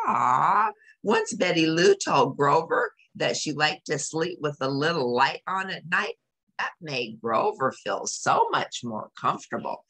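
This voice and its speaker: Slow, very animated woman reading a storybook. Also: low voice. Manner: expressive, explanatory, with exclamations. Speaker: storybook reader. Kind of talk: read-aloud with casual commentary for young children.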